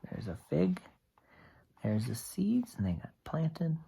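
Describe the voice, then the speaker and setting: A teacher reading a picture book aloud to young children, explaining things simply with expressive asides. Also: mid-range voice, slow, vocal fry, background noise.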